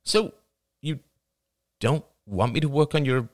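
A woman's voice: extremely low pitch, a little slow, a little mellow, dry recording, clean studio sound, voice pushed up. A man voicing an anxious young woman writer who stammers and gets defensive. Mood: shaken.

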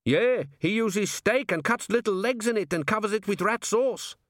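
A man's voice: high voice, moderate pace, fairly bright tone, no room sound, clean studio sound, pitched above the performer's own voice. A man voicing grumbling, accusing dwarf customers in an audiobook. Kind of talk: audiobook character voice.

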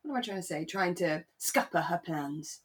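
Husky, lower-pitched woman chatting. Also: expressive, moderate pace. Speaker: podcast co-host. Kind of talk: relaxed chat discussing a radio drama.

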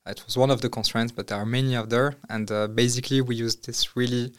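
Hesitant man from Morocco, smooth voice. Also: medium pitch, fairly even intonation, fast talker. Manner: hesitant, many fillers and restarts. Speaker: startup founder guest. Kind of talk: casual interview answers.

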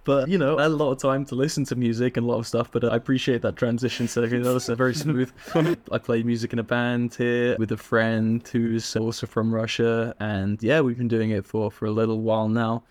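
A young man from England with an English accent, a guest telling stories in a relaxed, chatty way with frequent laughs and fillers. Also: medium pitch, a little fast, few pauses. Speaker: podcast guest. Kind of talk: casual interview chat.

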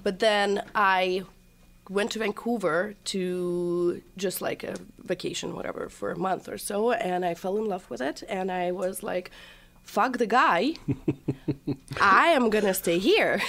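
A woman's voice: mid-range voice, takes it slowly, a bit bright. A young adult woman from Russia with a Russian accent, chatting as a podcast guest and telling her story with quoted dialogue. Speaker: guest, TikTok creator. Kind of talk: casual interview chat.

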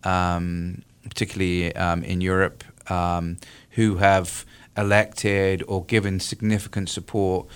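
A deep, smooth voice from a middle-aged man with a British accent. He answers as an academic guest on a radio talk show, working through his points with frequent ums and restarts and the odd chuckle. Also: little pitch movement, a little slow. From United Kingdom. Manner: hesitant, many fillers and restarts, chuckling asides. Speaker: political science professor.

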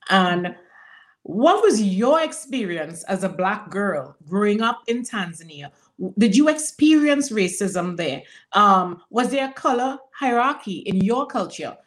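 A woman's voice: mid-range voice, sing-song, unhurried. A woman moderating a panel discussion, speaking emphatically and repeating phrases for effect. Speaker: panel host.

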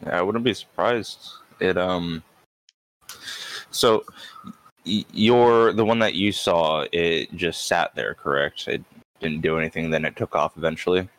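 A male podcast host asking calm, measured questions in a casual interview. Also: low-pitched, no room sound, low-quality recording.

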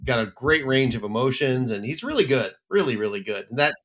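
A man's voice: medium pitch, expressive, fairly quick, fairly bright tone. A man co-hosting a casual film review chat, giving blunt and emphatic opinions. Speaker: film critic co-host.

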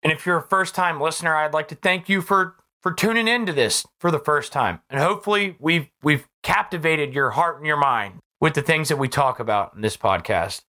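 An American podcast host delivering an impassioned political monologue, emphatic and repeating phrases for effect, with a slightly hoarse voice. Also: medium pitch, bright, forceful tone. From United States.

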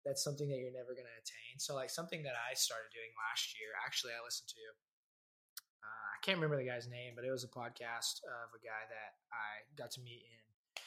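A man's voice: medium pitch, steady tone, fairly quick, sharp tone. A man in his twenties from Kansas, hosting a casual podcast chat in a loose, conversational way with many fillers. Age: 20s. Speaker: podcast host.

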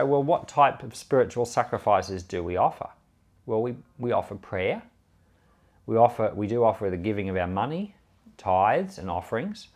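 A man speaking as a pastor, giving informal Bible commentary in an earnest, conversational, explanatory way. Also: medium pitch. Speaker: pastor and Bible podcast host.

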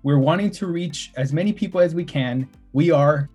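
A young man from Oceanside, California, co-hosting a podcast in a friendly, relaxed way. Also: fairly high pitch, moderate pace, husky, faint music behind. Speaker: podcast co-host. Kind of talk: casual podcast chat.

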